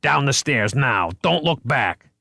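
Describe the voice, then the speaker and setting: Man voicing a shouting police lieutenant. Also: mid-range voice, very animated, slow pace, creaky, breathy edge, no room sound, clean studio sound, pitched above the performer's own voice, heavily acted. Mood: urgent shouting. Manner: shouting commands. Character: tough male police lieutenant barking orders.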